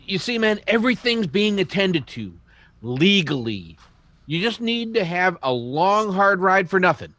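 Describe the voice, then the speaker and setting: A man's voice: sits high, big pitch swings, a little slow, pushed and bright, full character voice. A man acting an earnest townsman who tells and plays out a western radio drama with dramatic feeling. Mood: urgent, persuading. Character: earnest, reasonable townsman narrating a western tale.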